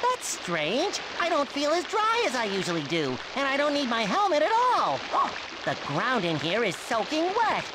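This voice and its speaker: A man's voice: very high voice, huge pitch swings, moderate pace, hardly any pauses, heavily acted. A man voicing a naive, eager sea sponge in a cartoon video game, earnest, childlike and exaggerated. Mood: cheerful musing. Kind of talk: animated video game voice acting. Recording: noticeable background noise.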